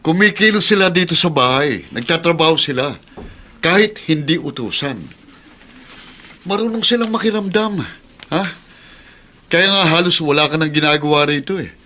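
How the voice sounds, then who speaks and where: A man's voice: a little high, lively intonation, moderate pace, pauses often, bright, forceful tone. An actor playing a principled, earnest, reproachful man with a Filipino accent in a radio drama. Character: principled, indignant man defending mistreated children.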